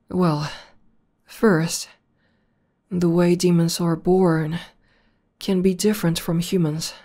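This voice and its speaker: Casting: woman voicing tomboy demon girlfriend, ASMR roleplay. Deep-set, relaxed tone, slow intimate delivery, fond and playful. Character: buff tomboy demoness girlfriend, affectionate and teasing. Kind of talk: ASMR roleplay audio spoken to the listener.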